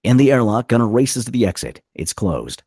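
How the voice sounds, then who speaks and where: A man's voice: mid-range voice, sing-song, brisk, dark tone, breathy edge, no echo, clean studio sound. A man reading scene directions from a screenplay as scripted narration. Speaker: stage directions reader.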